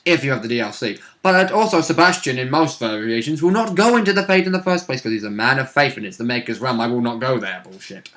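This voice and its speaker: A man's voice: mid-range voice, fast talker, few pauses. A man casually recounting a tabletop game session as a player, sweary and given to acting out moments. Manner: sweary, animated reenacting of moments. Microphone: recorded conversation for a vidcast.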